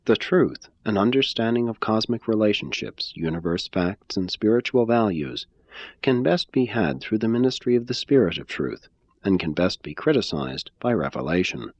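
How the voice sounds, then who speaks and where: A man giving a measured, phrase-by-phrase scripted reading of a religious text. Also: on the low side, lively intonation, darkish tone, no room sound, dull audio. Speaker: reader.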